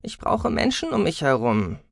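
A man's voice: on the high side, huge pitch swings, normal speed, creaky, no echo, studio quality, voice pushed up, exaggerated voice. A man voicing a hot-tempered, defiant adolescent girl in an audiobook, indignant and emphatic. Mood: reproachful. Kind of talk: dramatic audiobook character acting.

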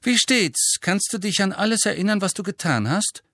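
Guy doing a blunt cool young teen girl, unimpressed, higher voice, audiobook. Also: animated, normal speed, sharp tone, no room sound, clean studio sound, full character voice. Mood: cool, matter-of-fact. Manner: blunt, matter-of-fact.

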